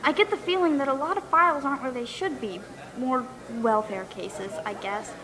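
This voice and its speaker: A woman's voice: high voice, normal speed, a crowd in the background, lightly acted, low-quality recording. A woman playing an earnest, insistent young wife and dental assistant with suspicions, in an audio drama performance. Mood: suspicious, earnest. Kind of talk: stage audio drama acting.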